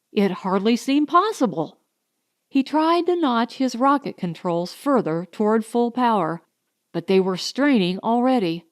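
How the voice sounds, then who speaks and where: A woman narrates a science fiction story in a suspenseful, deliberate style. She reads slowly in short weighted phrases, with a mid-pitched voice and a close, dry sound. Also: no room sound. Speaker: audiobook narrator.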